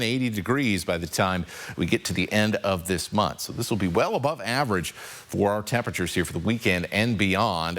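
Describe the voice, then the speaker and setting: A man with a deep voice giving a relaxed, conversational live weather forecast on morning TV. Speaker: TV meteorologist. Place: TV news studio.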